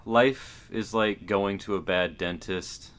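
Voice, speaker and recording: A man co-hosting a podcast, chatting casually in a deadpan, downbeat manner, with faint background noise. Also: sits low, slow pace. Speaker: podcast co-host. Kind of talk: casual chat.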